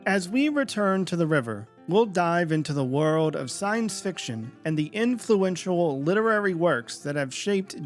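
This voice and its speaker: A man with a somewhat high voice hosts an audio drama podcast. He reads a prepared introduction in a presenting style, with soft plucked guitar music playing behind him. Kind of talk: scripted introduction.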